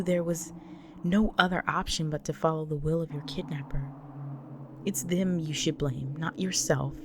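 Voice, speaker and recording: A woman playing a gentle, protective vampire wife in an intimate audio roleplay, speaking tenderly in a breathy, near-whispered voice. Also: on the low side, close to an everyday voice. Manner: tender, slow, soothing. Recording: noisy track with loud ambient sound.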